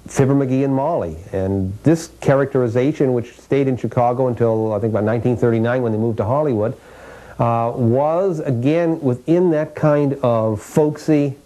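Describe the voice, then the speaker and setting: A man with an American accent gives explanatory interview commentary as a historian, haltingly searching for his words. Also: mid-range voice, mellow, low-quality recording.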